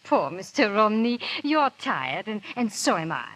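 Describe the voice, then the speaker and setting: An actress playing a proud, unhappy aristocratic wife with refined, emotive delivery in 1930s radio style. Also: female voice, mid-range voice, big pitch swings, unhurried, fairly bright tone. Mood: polite, gentle. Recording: vintage 1930s radio broadcast.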